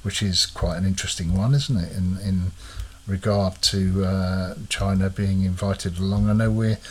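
A man's voice: low-pitched, steady tone, moderate pace, poor audio. A man hosting a casual chat, quizzing his co-host with lots of hesitant fillers.

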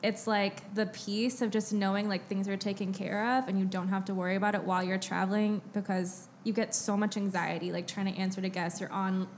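A woman's voice: medium pitch, level tone, a little fast, hardly any pauses. Woman, 30s, chatty, lots of fillers, laughs easily, podcast guest, faint background noise. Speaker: guest, business co-founder. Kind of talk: casual podcast interview.

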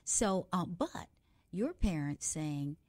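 A woman's voice: on the low side, expressive, takes it slowly, darkish tone, no echo. A woman from the United States hosting a radio interview, chatty and reflective, adding her own stories between questions. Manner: chatty, reflective, adds personal anecdotes. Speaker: radio show host. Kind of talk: conversational interview.

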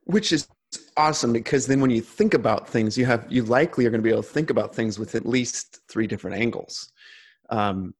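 A man's voice: medium pitch, brisk, breathy edge. A man in casual conversation, talking earnestly with frequent restarts.

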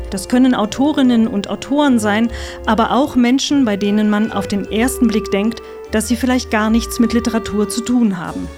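A woman hosting a podcast, reading a scripted introduction. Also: on the high side. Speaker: podcast host.

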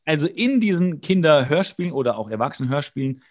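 Chatty man with a slightly high voice. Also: very animated, fast, highs cut off. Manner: jokey, rambling, wry. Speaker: podcast co-host. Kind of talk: casual episode recap chat.

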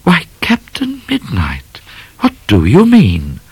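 A man's voice: a little high, wildly swooping pitch, slow, slightly rough, dry recording, pitched above the performer's own voice, full character voice. A man voicing a romantic, self-assured genteel young lady in a storybook, effusive, coy and theatrical. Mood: delighted.

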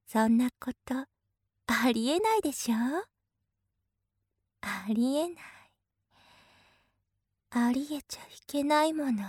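A woman's voice: sits high, no room sound, clean studio sound. A woman playing an obsessive, possessive big sister in a voice drama, coaxing sweetly with an unhinged edge. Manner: clingy, coaxing, slipping into intense whispers. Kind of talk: Japanese situation voice drama acting.

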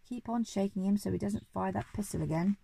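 A woman's voice: mid-range voice, a little fast, gravelly, warm tone, husky. A woman voicing a loyal, urgent female giraffe in a children's story, speaking earnestly. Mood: cheering on.

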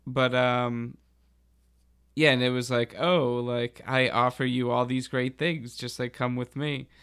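A man chatting casually as a podcast host, with a halting, slangy delivery. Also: mid-range voice, level tone, fairly bright tone, clear, resonant tone. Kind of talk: casual chat about a TV show.

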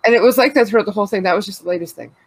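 A woman's voice: medium pitch, very fast, slightly rough. A Canadian woman with a congested, cold-stuffed voice, chatting candidly and rambling, laughing often. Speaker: podcast co-host. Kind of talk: casual personal chat.

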